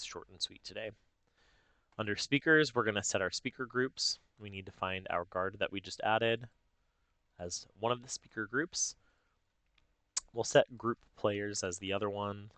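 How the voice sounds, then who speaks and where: A man narrating a casual software tutorial step by step, informally thinking aloud as he goes. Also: fairly low pitch, no echo. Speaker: tutorial host.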